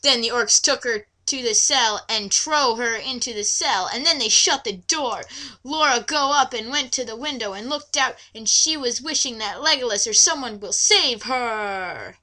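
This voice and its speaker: A woman's voice: fairly high pitch, moderate pace, bright, forceful tone. A woman reading a story aloud mockingly, with theatrical delivery and exasperated asides. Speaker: reader mocking an amateur story.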